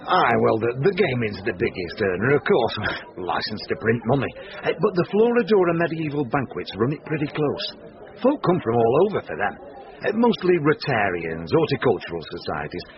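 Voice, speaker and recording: An actor with a Northern English accent playing a brash, shady, self-made club boss in a radio drama, folksy and blustering. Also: male voice, medium pitch, big pitch swings, fairly quick, lightly acted. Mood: jovial boasting. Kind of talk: British radio drama acting. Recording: dull lo-fi radio broadcast.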